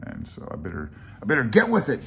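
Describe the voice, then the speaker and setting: A man talking casually through a technical handbook as a hobbyist vlogger, folksy, self-deprecating and halting, sometimes reading aloud. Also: mid-range voice, huge pitch swings, creaky, noisy background. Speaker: amateur radio hobbyist vlogger.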